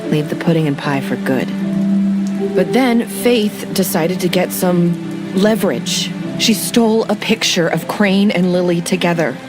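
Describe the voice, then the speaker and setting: A woman's voice: lowish voice, measured pace, close to an everyday voice. An actress playing an anxious young woman confessing in a game, talking in hesitant, pleading bursts. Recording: game audio with loud music.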